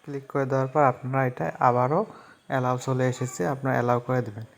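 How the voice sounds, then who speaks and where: A man's voice: medium pitch, little pitch movement, fast talker. A man narrating a phone app tutorial step by step, in a repetitive, instructional way. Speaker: tech tutorial host.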